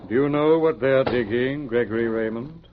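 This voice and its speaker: A noisy, dull vintage radio recording. A male actor plays a grave, commanding man, an ominous guide who is really a lawman. He speaks in a measured, authoritative way at medium pitch. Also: close to an everyday voice. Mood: solemn, ominous. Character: solemn, stern otherworldly guide, secretly a homicide lieutenant. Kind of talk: 1950s radio drama acting.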